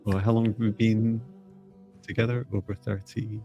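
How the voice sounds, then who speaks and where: A man's voice: on the low side, little pitch movement, moderate pace, warm tone, dull audio, faint music behind. A middle-aged man hosting a live stream in casual, jokey, chatty conversation.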